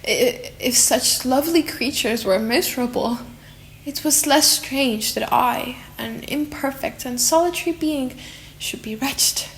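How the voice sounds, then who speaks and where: High voice, woman playing a lonely tender creature, theatrical storybook narration, emotive, drawn-out words. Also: slight background noise, rough recording. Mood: wistful, melancholy. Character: lonely, tender, wretched monster, eager to learn. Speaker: audiobook narrator. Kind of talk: dramatic, slightly silly audiobook reading.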